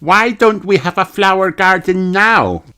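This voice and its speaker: A man voicing a curious, excitable little girl piglet from a storybook, eager and full of questions. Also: high voice, a little slow, faint music behind, voice pushed up.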